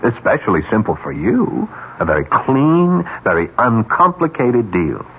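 An actor playing a smooth, calculating broker of arranged murders in a 1970s radio drama, persuasive and businesslike. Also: male voice, medium pitch, huge pitch swings, a little slow, heavily acted. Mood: coolly negotiating. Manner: businesslike, persuasive, coolly insinuating. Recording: muffled, noisy 1970s radio broadcast.

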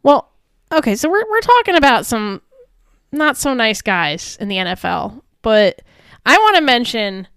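A woman's voice: a little high, very animated, measured pace, a bit bright, clean tone, dry recording, studio quality. A woman co-hosting a sports talk show, chatting casually and giving her opinions as she recaps the news.

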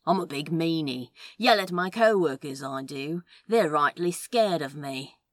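A woman voicing a gruff, blunt, secretly tender man who grumbles in British slang, in an audio drama. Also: sits low, animated, a little slow, no echo, studio quality. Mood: grumbling.

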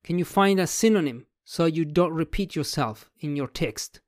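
Writing vlogger, mid pitch, dry close sound, musing and word-searching. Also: normal speed, a little mellow, no room sound. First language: Italian. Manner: thinks aloud, searching for words. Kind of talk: casual explanatory talk about a book.

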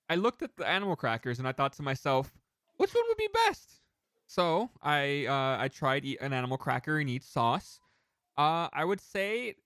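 A man co-hosting a casual podcast, telling rambling, animated anecdotes with some casual swearing. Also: on the high side, clean tone, no room sound, clean studio sound. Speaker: podcast co-host.

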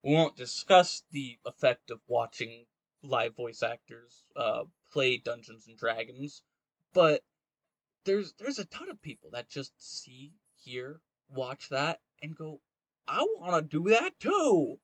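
A man with a mid-pitched voice talks casually as a podcast co-host, recorded close and dry. He gives opinions in a rambling, halting flow, peppered with "like". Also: big pitch swings, fairly bright tone, no echo. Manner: rambling, halting delivery with frequent "like".

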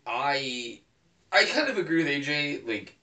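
Lively, bright-voiced podcast guy. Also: fairly high pitch, unhurried, distant mic. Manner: animated, profane. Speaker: podcast co-host. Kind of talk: casual chat.

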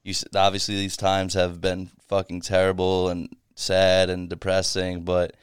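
A man's voice: sits low, monotone, normal speed, smooth, dry recording, clean studio sound. A man hosting a podcast, asking questions in a casual, loose way with free swearing. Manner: casual, swears freely, laughs often. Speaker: podcast host. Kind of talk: casual interview chat.

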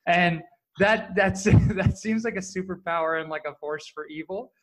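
Easygoing man, slightly high voice. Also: normal speed, slight background noise, low-quality recording. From Canada. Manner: friendly, jokey, relaxed storyteller. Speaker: podcast guest, startup co-founder. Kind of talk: casual interview answers.

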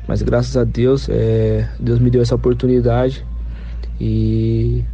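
Gravelly, dark-voiced young footballer. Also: male voice, mid-range voice, level tone, takes it slowly. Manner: hesitant, repeats words while thinking. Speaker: football striker interviewed. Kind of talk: interview answers. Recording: muffled lo-fi recording with faint noise.

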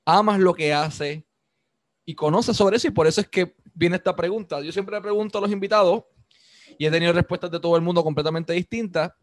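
A man hosting an interview, asking his questions in a measured, deliberate way. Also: high-pitched, no room sound. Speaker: interviewer, host.

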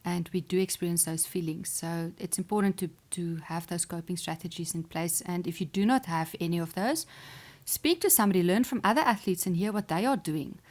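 A woman's voice: lowish voice, fairly quick. Earnest woman, interviewee. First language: Afrikaans. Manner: earnest, encouraging, with small restarts and soft laughs. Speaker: chess player and sports psychologist. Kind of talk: interview answers.

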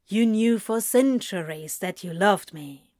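A woman voicing a tender, insecure male lover who asks earnest, hesitant questions in a hushed audiobook style. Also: mid-range voice, lively intonation, a little slow, dry recording, studio quality, lightly acted. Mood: urgent, pleading.

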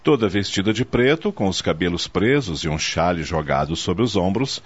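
A man's voice: low-pitched, expressive, normal speed, slightly rough. A man delivering scripted, dramatic narration for a radio soap opera. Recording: dull noisy audio with ambience.

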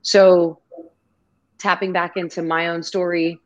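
A woman's voice: on the low side, little pitch movement, measured pace, dull audio. A woman guest on a video-call interview, talking in an effusive, grateful and rambling way. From half Greek, half Irish family. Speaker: podcast guest.

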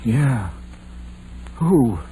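A superstitious old countryman talks slowly in a folksy American accent. His delivery is halting and awed, in 1930s radio horror style on a faintly noisy recording. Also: medium pitch, big pitch swings, mellow. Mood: uneasy, awed. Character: superstitious, simple old rural lodging-house keeper.

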